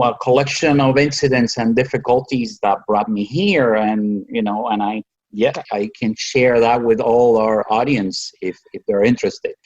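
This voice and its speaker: A man in his sixties with a Cuban accent, a native Spanish speaker, telling his own story conversationally and haltingly. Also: medium pitch, a little mellow, lo-fi sound. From Cuba. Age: 60s. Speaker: podcast guest, physician.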